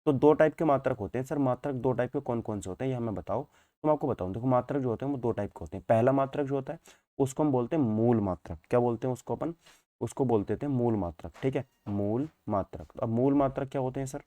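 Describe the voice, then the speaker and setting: A man's voice: medium pitch, quick pace, warm tone, no room sound. A man giving an informal online lecture as a teacher, repeating points and asking questions that he answers himself. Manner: repetitive, asks and answers own questions, frequent check-in tags. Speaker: physics teacher. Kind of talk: informal online exam-prep lecture in Hindi mixed with English terms.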